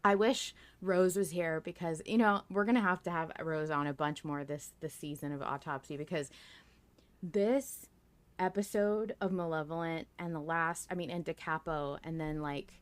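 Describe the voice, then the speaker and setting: A woman's voice: low voice, normal speed. A woman co-hosting a podcast in casual conversation. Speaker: podcast co-host. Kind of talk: casual chat.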